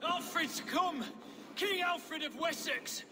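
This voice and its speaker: An actor playing a frantic lookout warrior in a game cutscene, shouting an urgent alarm. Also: male voice, very high voice, slow. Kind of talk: video game cutscene acting. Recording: long hall reverb.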